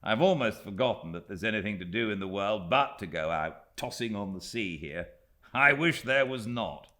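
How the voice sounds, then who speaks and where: A breezy, self-assured young British gentleman from a classic novel, as a male narrator plays him. The voice is bright and forceful, swinging widely in pitch, with an airy, jocular confidence. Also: animated, moderate pace. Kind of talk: dramatised classic audiobook dialogue.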